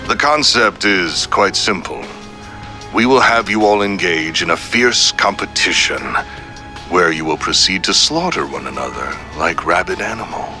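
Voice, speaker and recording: A suave syndicate boss in a video game. The voice is deep and very forceful, with grandiose, courteous phrasing that carries calm menace over faint music. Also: male voice, measured pace, heavily acted. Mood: grand, sinister. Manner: formal, grandiose, calmly threatening. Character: suave, menacing male crime syndicate boss, coldly polite. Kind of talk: scripted villain monologue in a video game.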